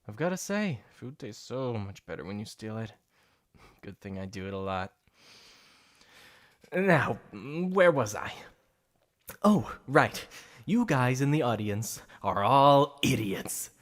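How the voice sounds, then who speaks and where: A man's voice: medium pitch, expressive, slow pace, long pauses. A man performing a boastful, playful supervillain for an ASMR roleplay, with a secret obsession behind the show. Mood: gloating showman. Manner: showy, mocking, playful.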